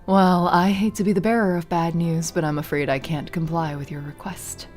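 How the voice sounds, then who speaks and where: A woman voicing a cold, haughty female vampire noblewoman who speaks slowly and with arch formality. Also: on the low side, fairly even intonation, darkish tone, faint music behind, voice pushed down, lightly acted. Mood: languid. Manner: slow, purring, archly formal.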